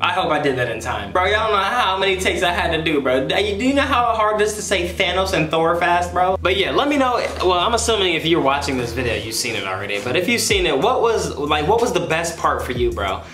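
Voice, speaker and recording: An American man in his twenties giving an excitable, slangy, casual movie review to camera as a YouTuber. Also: sits high, a little fast, hardly any pauses, reverberant, soft background music. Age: 20s. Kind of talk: animated casual vlog review. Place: small home room, indoors.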